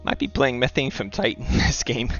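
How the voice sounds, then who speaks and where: A man's voice: medium pitch, a little fast, gravelly, dull audio, soft background music. A young man from the East Coast of the US, casually commenting on his moves while playing and chatting with viewers. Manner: relaxed, talks through his moves and reads chat aloud. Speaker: streamer playing a board game.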